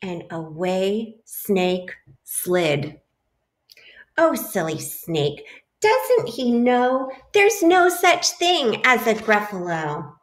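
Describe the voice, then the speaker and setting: A woman's voice: medium pitch, extremely slow. Woman reading a storybook, roomy room. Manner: lively storybook reading, voicing the animals. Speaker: teacher reading aloud to children. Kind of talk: children's picture-book read-aloud. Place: home kitchen, indoors. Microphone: webcam at arm's length. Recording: roomy echo.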